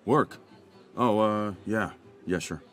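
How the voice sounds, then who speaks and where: A middle-aged man playing an anxious, grateful working-class village father in a video game cutscene, speaking in a halting, earnest way. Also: lowish voice, a bit bright, faint music behind. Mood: wary, anxious.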